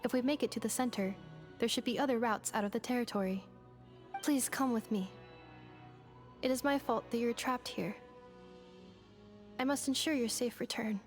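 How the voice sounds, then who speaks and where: A woman's voice: fairly high pitch, moderate pace, long pauses, faint music behind, close to an everyday voice. A woman playing a composed, dutiful robot young woman in a video game, speaking formally in restrained, clipped phrases. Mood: resolute.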